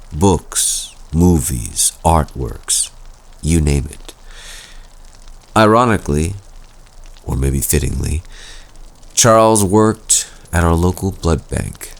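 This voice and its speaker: Young male campfire storyteller, played by a man: very low voice, sweeping intonation, suspenseful horror delivery for audio roleplay. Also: gravelly, heavily acted. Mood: ominous, intriguing. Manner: slow, dramatic, suspenseful storytelling. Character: young male student Luke telling a spooky campfire vampire tale.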